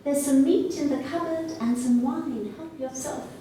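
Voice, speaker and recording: A woman's voice: moderate pace, mellow, lightly acted. A woman voicing a sly, predatory male werewolf posing as a grandmother in a folktale, coaxing, bossy and falsely kindly. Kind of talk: live folktale storytelling. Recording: echoey room, distant mic, faint rumbling noise.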